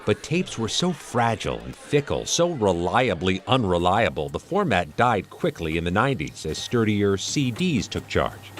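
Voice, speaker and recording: A man's voice: fairly low pitch, huge pitch swings, normal speed, few pauses. An American man narrating a scripted television news feature as a correspondent. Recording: music bed under voice. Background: music.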